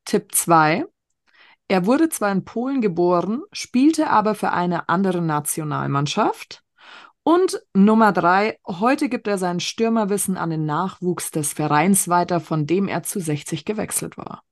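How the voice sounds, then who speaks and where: A woman co-hosting a casual podcast, reading quiz hints slowly and deliberately. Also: lowish voice, lively intonation, no echo, studio quality. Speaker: podcast co-host.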